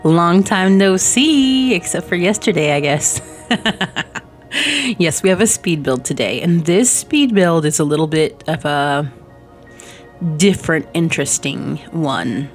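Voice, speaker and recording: Hoarse woman chatting, a bit froggy. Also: lowish voice, expressive, slow pace. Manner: rambling, frequent ums and soft laughs. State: hoarse, recovering from a cold. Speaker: Sims speed-build creator. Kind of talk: casual voice-over chat over gameplay. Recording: soft background music.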